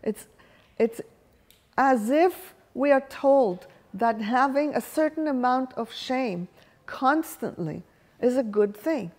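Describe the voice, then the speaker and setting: A woman's voice: high-pitched, lively intonation, takes it slowly, darkish tone. A woman whose first language is Hebrew, leading a workshop and thinking aloud while she asks the room questions. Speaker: workshop facilitator. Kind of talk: live workshop talk with audience questions. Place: workshop room with a live audience.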